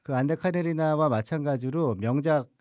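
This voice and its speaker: Hoarse priest chatting slowly on a podcast. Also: male voice, a little high, a little mellow, no echo. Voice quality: slow, hesitant delivery with many fillers. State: hoarse, voice strained after lecturing. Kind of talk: casual podcast chat about films.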